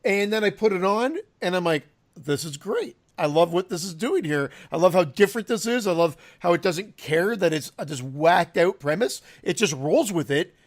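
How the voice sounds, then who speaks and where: Podcast co-host, male, high animated voice, excitable and profane. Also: moderate pace, hardly any pauses. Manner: excitable, swears, quotes his own reactions. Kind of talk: casual chat.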